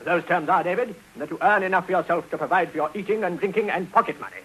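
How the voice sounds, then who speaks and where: A man's voice: sits high, fast talker, a bit bright, a little hiss or hum, low-quality recording. A British actor playing a cold, self-important Victorian stepfather in a 1950s radio drama, speaking in a pompous, clipped, severe way. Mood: stern, cold. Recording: old 1950s radio broadcast.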